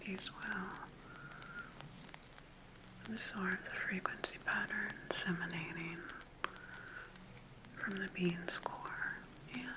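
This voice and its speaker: A woman playing a calm, clinical sci-fi scientist in a soothing, methodical ASMR roleplay, speaking in a breathy whisper. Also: on the low side, low-quality recording. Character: calm, clinical female sci-fi scientist examining her creation.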